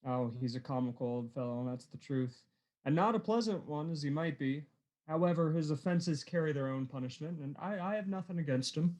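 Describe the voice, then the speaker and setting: An actor playing a kindly, good-humored nephew in a radio play, speaking in a jovial, earnest way. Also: male voice, fairly high pitch, brisk, darkish tone, close to an everyday voice. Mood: good-natured.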